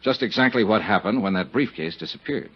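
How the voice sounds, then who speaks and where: Casting: sardonic American private investigator, lively inflection, dull vintage radio sound. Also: male voice, on the low side, a little fast, breathy edge. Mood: probing. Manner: clipped, sardonic. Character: wry, hard-boiled American insurance investigator. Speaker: lead actor and narrator of a radio drama. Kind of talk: 1950s radio acting. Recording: muffled vintage radio broadcast.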